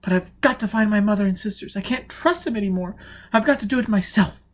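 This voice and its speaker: A man voicing a frightened, self-blaming young woman in an audiobook, anguished and often breathy. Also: high voice, quick pace, voice pushed up, natural voice. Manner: breathy, anguished whispering and pleading. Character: exhausted, fearful young woman telepath, self-blaming and distrustful.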